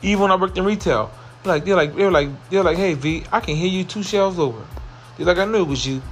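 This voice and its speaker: Man hosting a motivational podcast, fairly high voice with a carrying tone, soft music underneath. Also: brisk. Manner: earnest, repetitive, building to excited emphasis. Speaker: podcast host. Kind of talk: motivational solo podcast monologue.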